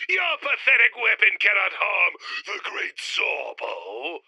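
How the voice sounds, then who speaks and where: A theatrical mad-scientist villain of a retro radio serial, pompous and easily irritated. The voice is raspy and piercingly bright, with wildly swinging pitch and bombastic, melodramatic delivery. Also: male voice, huge pitch swings, slow pace, dry recording, highs cut off, full character voice. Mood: melodramatic, defiant. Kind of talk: retro sci-fi radio play acting.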